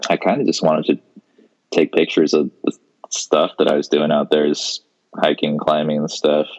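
Young man in his twenties, very low and monotone, relaxed interview guest with lots of restarts, dull lo-fi sound. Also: moderate pace. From Seattle, Washington, US. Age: 20s. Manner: laid-back, many fillers and restarts. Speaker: podcast guest, photographer. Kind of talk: casual interview chat.

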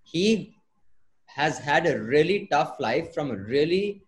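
Male moderator, fairly high voice, polite, halting, conversational podcast hosting. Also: animated. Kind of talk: casual moderated discussion.

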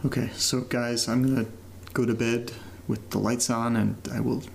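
A man's voice: mid-range voice, little pitch movement, gravelly, slight background noise, natural voice. A man playing an uneasy homeowner in a found-footage horror audio drama, talking casually and hesitantly as if keeping a spoken diary. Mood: unnerved, weary. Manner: hesitant, halting, casual muttering to listeners. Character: uneasy man documenting his new house, casual, nervous.

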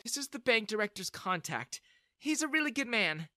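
A man's voice: very high-pitched, very animated, moderate pace, sharp tone, dry recording, studio quality, pitched above the performer's own voice, heavily acted. A man voicing an emotional, possessive middle-aged mother in a storybook narration. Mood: firm.

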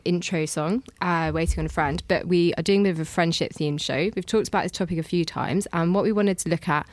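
A woman in her thirties hosts a relaxed podcast chat, laughing often as she talks. Her voice sits a little low for a woman. The sound is close and dry, with no room echo. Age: 30s. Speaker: podcast host. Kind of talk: casual chat.

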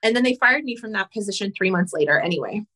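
A woman in her thirties whose first language is Spanish, telling her story in an interview in a frank, animated way and swearing casually. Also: mid-range voice, quick pace. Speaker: guest entrepreneur.

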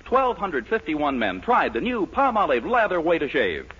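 A man's voice: on the high side, huge pitch swings, normal speed, a bit bright, husky. An American man working as a 1940s radio announcer, reading scripted ads and narration on a dull old broadcast recording.

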